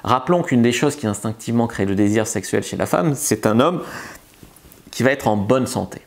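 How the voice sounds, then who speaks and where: A man's voice: medium pitch, animated, normal speed. Casual, chatty male seduction coach. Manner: loose and chatty, frequent filler words and restarts. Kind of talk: casual advice monologue to camera.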